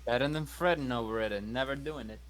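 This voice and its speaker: A man's voice: medium pitch, quick pace. A man casually improvising in character at a tabletop game, speaking bluntly and with swearing. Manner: blunt, profane.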